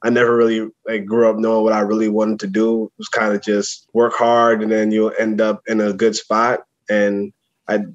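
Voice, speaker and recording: Young new guy talking casual, kinda monotone, muffled lo-fi audio. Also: lowish voice, moderate pace. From the Bronx, New York. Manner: relaxed, frequent "like" fillers. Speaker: guest. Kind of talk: casual interview chat.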